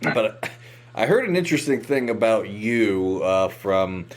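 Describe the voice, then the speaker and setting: A man's voice: on the low side, animated, unhurried. An American man from West Virginia hosting a podcast, casually telling anecdotes and acting out the dialogue as he goes. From West Virginia, United States. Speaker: podcast host.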